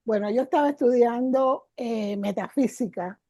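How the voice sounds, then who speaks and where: A woman's voice: fairly high pitch, normal speed, warm tone, dry recording, clean studio sound. An older woman, a guest teacher, telling her story in an interview with emotion, repeating words for emphasis. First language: Spanish.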